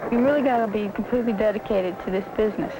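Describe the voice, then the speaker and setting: A woman's voice: medium pitch, very fast. A woman talking about herself in a documentary interview. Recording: very noisy 1970s film sound. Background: loud background noise.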